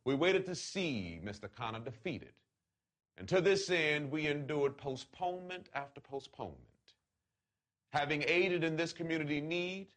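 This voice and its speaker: A man reads a written letter aloud in a solemn, oratorical way, with deliberate phrasing and emphatic pauses. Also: mid-range voice, a bit bright. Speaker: reader of a letter.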